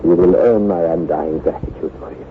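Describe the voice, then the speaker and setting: An actor playing an anguished, devoted nobleman husband, formal, grave and halting, in old-time radio drama style. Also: low-pitched. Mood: grave, haunted. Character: anguished, haunted nobleman husband devoted to his ailing wife. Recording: noisy, narrow-band vintage radio broadcast.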